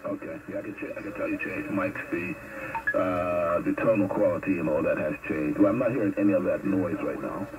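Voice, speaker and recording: A man talking over a CB radio link, relaxed and helpful with many fillers. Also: sits low, a little fast. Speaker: distant CB radio operator. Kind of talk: CB radio contact. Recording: noisy CB radio reception.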